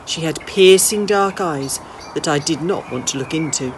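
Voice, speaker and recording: A woman reading a children's novel aloud as an expressive narrator. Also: deep voice, normal speed, noisy background. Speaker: storybook reader, school staff.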